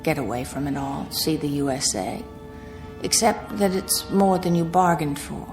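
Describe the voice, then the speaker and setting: A woman's voice: low voice, normal speed, over music. An actress playing a weary, anxious young woman motorist in a radio drama, uneasy and confiding. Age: 20s. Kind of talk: radio drama acting, confiding narration and dialogue.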